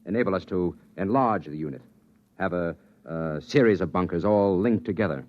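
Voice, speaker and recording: A low male actor's voice as a courteous space captain and official. He speaks earnestly, with hesitations, as if trying to persuade. The sound is dull, old radio drama. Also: moderate pace, no echo, lightly acted. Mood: affable, tentative. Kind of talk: 1950s radio drama acting. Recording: muffled vintage 1950s radio recording.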